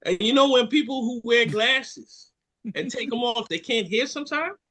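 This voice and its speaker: Jamaican male podcast co-host, higher-pitched voice, playful storyteller who acts out what people said. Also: normal speed. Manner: animated storyteller, joking, acts out quotes. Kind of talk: casual chat.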